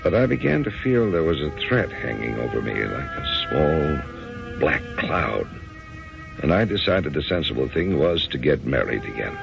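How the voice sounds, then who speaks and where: An actor in a radio drama playing a staid, matter-of-fact widowed businessman around sixty, brisk and slightly awkward. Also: rumbling low, very animated, vocal fry, music in the background, full character voice. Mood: reflective narration. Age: 60s. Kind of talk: old-time radio drama acting. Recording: muffled old radio broadcast recording.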